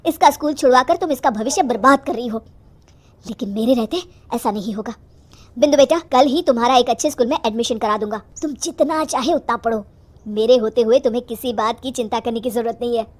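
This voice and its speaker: A woman voicing an indignant, protective father with a forceful, reproachful manner in a moral story. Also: on the high side, a little fast, lightly acted. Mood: reassuring. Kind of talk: acted children's moral story.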